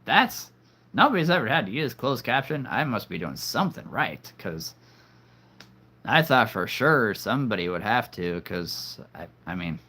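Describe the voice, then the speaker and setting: A man's voice: medium pitch, sing-song, moderate pace, pushed and bright, breathy edge. A man hosting a live stream, rambling casually and making cheesy jokes to his viewers. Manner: rambling, jokey, punning.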